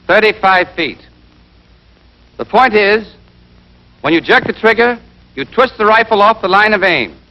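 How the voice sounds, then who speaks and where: A man playing a brisk, exacting American army rifle instructor in a scripted 1940s training film, drilling and quizzing with emphasis. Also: high voice, bright, forceful tone, full character voice. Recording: dull band-limited 1940s film soundtrack.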